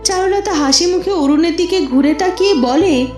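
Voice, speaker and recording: A woman's voice: extremely high pitch, normal speed, dark tone. A woman narrating a scripted cartoon story in a dramatic storytelling style. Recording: roomy echo with music behind.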